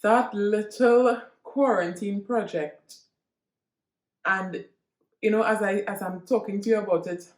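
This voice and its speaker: Trinidadian woman, solo vlog host; earnest, halting delivery, takes her time; echoey room sound. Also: medium pitch, fairly even intonation, darkish tone. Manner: earnest, halting, with frequent restarts and repeats. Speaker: vlogger. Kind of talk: reflective personal monologue to viewers.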